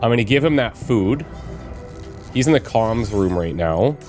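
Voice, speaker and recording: A man in his thirties with a slight cold and a bit of rasp, musing aloud as he plans out his moves while commentating a game. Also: medium pitch, lively intonation, measured pace, a little mellow, soft background music. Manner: musing aloud, planning with fillers. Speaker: let's play host. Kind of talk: casual gameplay commentary.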